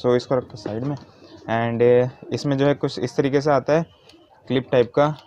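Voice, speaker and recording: A man's voice: mid-range voice, fairly even intonation, normal speed, mellow, no room sound. Man, casual tutorial, dull lo-fi sound. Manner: informal, explains step by step, mixes in English words. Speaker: motovlogger giving a how-to demonstration.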